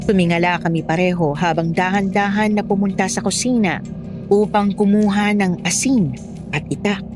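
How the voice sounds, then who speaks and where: A woman reading a scripted first-person horror story as narrator. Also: on the low side. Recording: music behind the voice.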